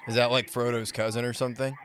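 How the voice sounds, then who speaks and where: A man chatting casually and informally, in a halting, hesitant way. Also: medium pitch, flat delivery, fairly bright tone. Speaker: prank caller and podcast guest. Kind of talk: casual, hesitant phone chat.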